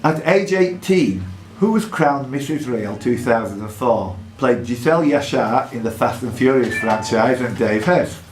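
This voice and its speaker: A man hosting a live quiz in a pub, reading questions out deliberately and adding jokey asides. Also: medium pitch, expressive, faint music behind. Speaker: quizmaster. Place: indoor pub. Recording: echoey pub room with background noise.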